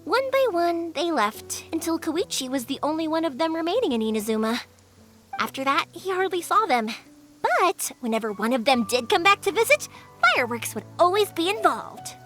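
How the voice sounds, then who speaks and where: A woman playing a cheerful, confident young craftswoman in a video game, chatty and expressive. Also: extremely high pitch, moderate pace, heavily acted. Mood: storytelling. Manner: breezy, expressive, informal storytelling. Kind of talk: English video game character dub. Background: music.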